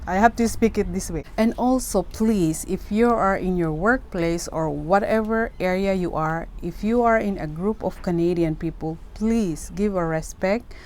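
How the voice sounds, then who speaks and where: A woman's voice: on the low side, normal speed, few pauses, darkish tone. A middle-aged woman from the Philippines with a Filipino accent, giving casual advice to camera in an earnest way, often checking in with "okay?". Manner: earnest, repeats herself, keeps asking "okay?".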